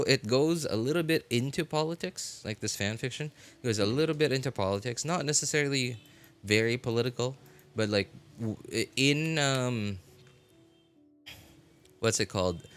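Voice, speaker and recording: A man streaming a game live, chatting casually and haltingly as he thinks aloud. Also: medium pitch, a bit bright, clear, resonant tone. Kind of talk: casual rambling livestream chat. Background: music.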